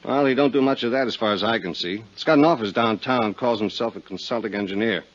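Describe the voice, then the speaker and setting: A man's voice: fairly low pitch, quick pace, natural voice. A man with an American accent acting as a breezy, folksy, slangy private detective in a 1950s radio drama. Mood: matter-of-fact. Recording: muffled vintage radio recording.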